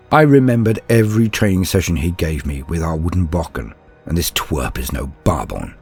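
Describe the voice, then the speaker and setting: A man with a deep voice that swings widely in pitch as he narrates lore and stories. His delivery is formal and theatrical, with a grandiose turn to each phrase. Music plays along behind him. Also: darkish tone, husky. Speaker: host of a lore channel and storyteller. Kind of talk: scripted lore narration and dramatic storytelling.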